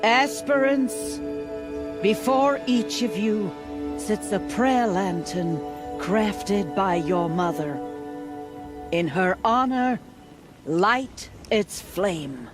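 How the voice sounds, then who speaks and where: Solemn game priestess: very slow, measured ritual speech over prominent music. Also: female voice, mid-range voice. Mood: solemn, reverent. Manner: measured, ceremonial, reverent. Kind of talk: video game cutscene acting. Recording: loud music under the voice.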